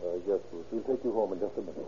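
Fast-talking police detective, old radio. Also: male voice, deep voice, mellow, slightly rough, lo-fi sound. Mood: probing, businesslike. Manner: brisk, businesslike, plain-spoken. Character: brisk, fatherly police detective questioning a witness. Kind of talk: classic radio drama acting. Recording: thin, noisy old radio recording.

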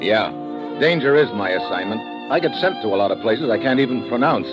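An actor playing a wry, hard-boiled American secret agent in an old radio drama. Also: male voice, medium pitch, animated, brisk, close to an everyday voice. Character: wry, tough male American secret agent narrating his own case. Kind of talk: 1950s radio drama acting. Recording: dull old radio recording, music behind.

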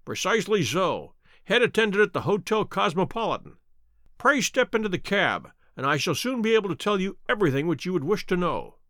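A man voicing a shrewd, self-assured gentleman detective in an audiobook, courteous and precise in manner. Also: sits high, sing-song, brisk, no room sound, clean studio sound. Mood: smoothly polite. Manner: bland, courteous, confident, precise.

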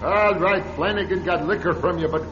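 A man's voice: high voice, brisk, natural voice. Flustered priest played by a man in echoing space. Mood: wary, grumbling. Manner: excitable, muttering, theatrical. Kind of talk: old-time radio drama acting. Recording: dull, noisy old radio recording, echoing hall.

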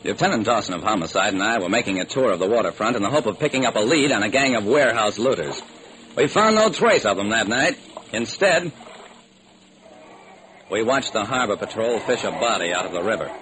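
A man's voice: on the low side, big pitch swings, a little fast, a bit bright. An actor playing a hardboiled American private detective who wryly narrates his own case in an old-time radio drama. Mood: wry, brisk. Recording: noisy old radio recording.

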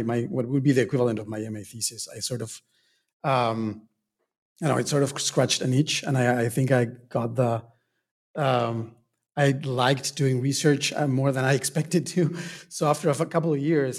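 A man from Argentina answers as an author guest in a casual interview. He speaks hesitantly, with many fillers and small laughs, at a mid pitch.

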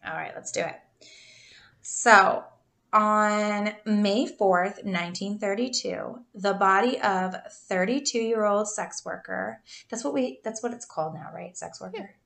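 A woman's voice: medium pitch, a little slow, gravelly, a bit bright. A woman in her thirties co-hosting a podcast, telling a true crime story in a casual, chatty way, laughing often and stumbling over names.

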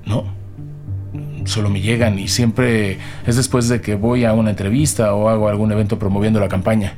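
A man playing a nervous, grieving politician under questioning in an audio drama, speaking haltingly with pauses. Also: on the low side, little pitch movement, darkish tone, soft background music, close to an everyday voice. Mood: nervous, guarded. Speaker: actor in a detective audio drama. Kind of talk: dramatic podcast acting.

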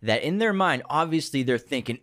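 A man co-hosting a podcast about movies, talking in a lively, animated way, often restarting his sentences and acting out what studio executives might say. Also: fairly high pitch. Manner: animated, quick restarts and mock-quoting studio bosses. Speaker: podcast co-host. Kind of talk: casual chat.

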